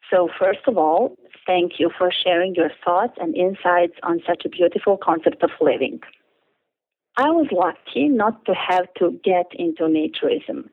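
A woman, carefully reading her own letter aloud to a podcast host over the phone. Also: on the low side. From Serbia. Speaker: listener reading her email. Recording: tinny phone line.

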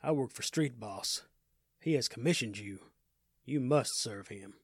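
A man's voice: mid-range voice, expressive, a little slow, husky, no room sound, clean studio sound. A man voicing an obedient male henchman in an audio story, in a restrained, insistent manner. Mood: curt insistence. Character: smooth-suited male henchman, obedient, curt.

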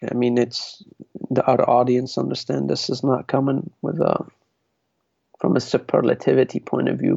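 A man from Lebanon speaks as a podcast guest with a gravelly, mellow voice. He talks reflectively and rambles, circling back with restarts. The sound is dry and a little dull. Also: mid-range voice, no room sound. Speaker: guest, belly dance artist. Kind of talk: podcast interview.

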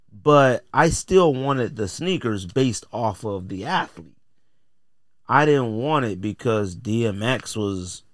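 A man's voice: mid-range voice, a bit bright, no room sound, studio quality. A middle-aged American man hosting a podcast, talking casually in blunt, slangy, opinionated style. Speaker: podcast host.

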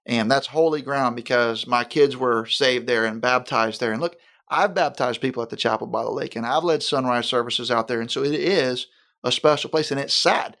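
Earnest pastor, measured and deliberate. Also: male voice, mid-range voice, a bit bright. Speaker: senior pastor. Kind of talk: conversational interview.

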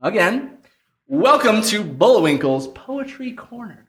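A man with a high, very animated voice hosts a live variety show as its announcer. The sound is roomy, like an unedited stage recording. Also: takes it slowly, slight background noise. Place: comic shop, live before an audience.

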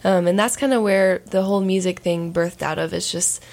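A woman in her twenties with a raspy voice, a singer telling her story as a guest in a relaxed interview. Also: lowish voice, brisk. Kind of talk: casual interview.

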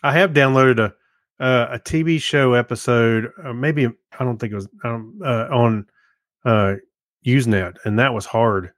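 Halting man chatting casually, dry sound. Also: mid-range voice, no echo. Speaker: podcast co-host. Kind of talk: casual tech chat.

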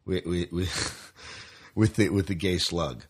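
A man's voice: low voice, takes it slowly, breathy edge. A man hosting a podcast in casual fan chat, chatty and opinionated, often prompting his co-host.

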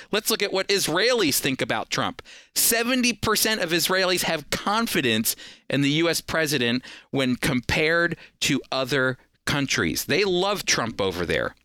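A man's voice: fairly high pitch, bright, forceful tone, dry recording, clean studio sound. A middle-aged American man hosting a program, giving scripted commentary with an earnest, preacherly emphasis. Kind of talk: scripted faith and politics commentary.

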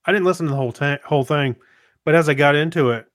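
A man's voice: a little high, fast talker, dry recording, clean studio sound. A man talking informally as a podcast co-host. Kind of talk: casual conversational chat.

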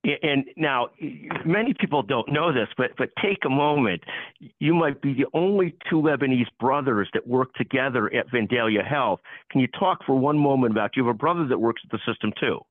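Halting male interviewer on a lo-fi line. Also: mid-range voice, animated, no room sound. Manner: halting, repeats words as he goes. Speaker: podcast host interviewing guests. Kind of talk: conversational interview.

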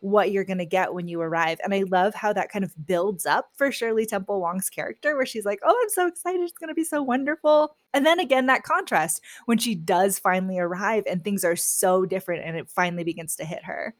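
A woman guest on a podcast, casually discussing a book and retelling scenes in an animated way. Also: mid-range voice, fairly quick, few pauses.

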